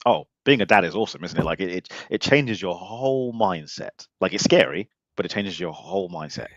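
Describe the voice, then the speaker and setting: A man's voice: low voice, lively intonation, a little fast, fairly bright tone, no room sound, muffled recording. A man hosting a podcast, chatty and jokey, who now has children of his own. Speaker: podcast host. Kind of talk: casual chat.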